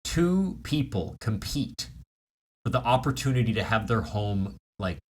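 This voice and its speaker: A man's voice: fairly low pitch, big pitch swings, unhurried. A man hosting a comedy podcast, riffing casually in improvised banter. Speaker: podcast host.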